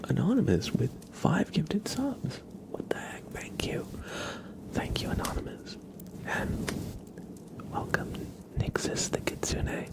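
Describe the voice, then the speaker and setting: A man hosting an ASMR livestream, chatting in a hushed, unhurried, soft-spoken whisper close to the microphone. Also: sits high, huge pitch swings, vocal fry.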